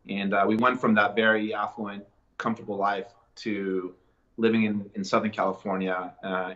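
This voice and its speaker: A man from Colombia, a podcast guest, telling his life story in a relaxed, reflective way with many fillers and restarts. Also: on the low side, dull audio. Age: adult, a father. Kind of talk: casual interview storytelling.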